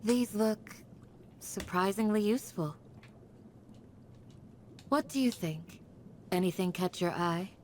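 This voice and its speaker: Actress as a slow, courteous young woman. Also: medium pitch, a little mellow, a little hiss or hum, lightly acted. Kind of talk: video game character voice lines.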